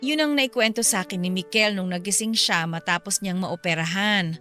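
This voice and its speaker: A woman's voice: medium pitch, moderate pace, pushed and bright, soft background music, natural voice. A woman playing an earnest, pleading middle-aged mother and friend, protective of her son, in a Filipino radio drama. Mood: subdued explaining.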